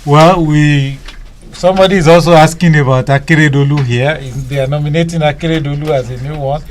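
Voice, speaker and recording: Halting male host, higher-pitched voice. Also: fairly even intonation. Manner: halting, repeats phrases as he goes. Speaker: radio talk show host. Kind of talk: live discussion show presenting.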